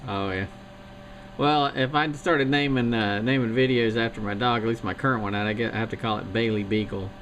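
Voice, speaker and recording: A man from Missouri in casual chat, speaking in a slow, folksy, plain-spoken way. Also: mid-range voice, fairly even intonation. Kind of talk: casual chat over radio link. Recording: radio link buried in loud noise.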